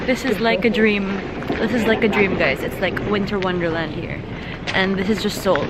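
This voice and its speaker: A young woman from the Philippines with a Filipino accent, chatting casually and excitedly to her vlog audience in a gushing, giggly way. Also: mid-range voice, normal speed. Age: young adult. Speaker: vlogger. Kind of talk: casual vlog chat to camera. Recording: noisy vlog audio with loud chatter.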